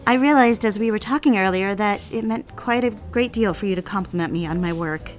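A woman's voice: medium pitch, fairly quick, faint music behind, lightly acted. A woman acting a poised, witty young single lady in a period romantic audio drama, coy, teasing and inquisitive. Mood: sincere, warm. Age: young adult.

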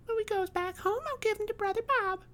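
A tiny storybook girl of five, acted out by a man, speaks in a very high, childish falsetto. She is innocent and solemnly matter-of-fact, with homely, folksy turns of phrase. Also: a little fast, bright, forceful tone. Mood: enthusiastic.

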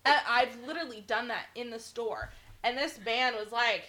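A woman's voice: a little high, moderate pace, pushed and bright. A woman in her twenties co-hosting a podcast in casual chat, blunt and swearing freely, acting out other people's lines. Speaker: podcast co-host.

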